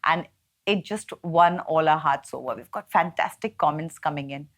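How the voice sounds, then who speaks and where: A woman with a notably low voice hosts a book club conversation. She sounds enthusiastic and affirming toward her guest. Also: moderate pace, fairly bright tone. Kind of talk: hosted interview.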